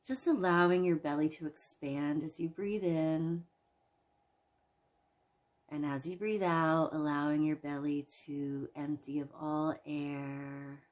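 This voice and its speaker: Slow, low-voiced woman guiding relaxation. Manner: soothing, gentle, measured with long pauses. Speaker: guided meditation host. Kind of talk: guided imagery relaxation narration.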